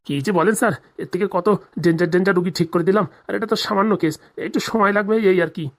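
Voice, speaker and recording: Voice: mid-range voice, rapid-fire, natural voice. A performer voicing a nervous, evasive small-time male village doctor in a comedy cartoon, stammering, pleading and grumbling. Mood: defensive, explaining.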